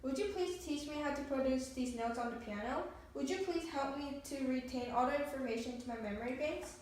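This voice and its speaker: A woman's voice: high voice, brisk, darkish tone, close to an everyday voice. Woman as a polite robot in a very echoey room. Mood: polite request. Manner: formal, literal, deferential phrasing. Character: polite, literal, eager-to-learn household robot. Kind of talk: amateur readers theatre performance. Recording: distant mic, very echoey room, faint noise.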